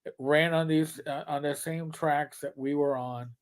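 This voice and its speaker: A man's voice: fairly high pitch, steady tone, moderate pace, clear, resonant tone. A man with a New York accent hosting a live stream, chatting casually and rambling through his plugs. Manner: chatty, rambling, gets loud and brash when joking. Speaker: livestream host.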